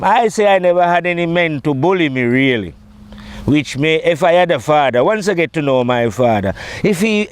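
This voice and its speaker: A man talks in a smooth, clear voice that sits a little high. He is middle-aged or older and rambles casually through his memories, often checking that the listener follows. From Jamaica. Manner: rambling, conversational, often checking in with "you know". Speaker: storyteller recounting his own life. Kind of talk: casual spoken reminiscence.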